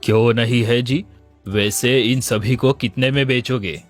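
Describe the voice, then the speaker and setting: A man playing a smooth-talking, falsely innocent sheep thief in an animated village story. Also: mid-range voice, measured pace, close to an everyday voice. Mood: glib, friendly. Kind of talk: Hindi animated moral story dialogue. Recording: music under the voice.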